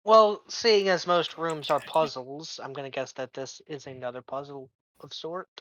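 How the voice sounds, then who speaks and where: A man's voice: on the high side, a bit bright, no room sound, dull audio. Young male player on a chat mic, dry close sound, pushy and argumentative. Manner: bantering, pushy, quick to argue. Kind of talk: casual voice chat during a tabletop game. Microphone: online voice chat mic.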